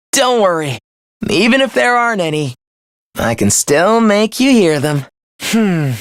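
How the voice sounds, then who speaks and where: A man's voice: high voice, a bit bright, no echo, clean studio sound, full character voice. A male actor voicing a sadistic young male villain in a video game, taunting and theatrical with a mockingly polite, obsessive manner. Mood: sly, mock-festive, then gleeful taunting. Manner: taunting, theatrical, mock-cheerful.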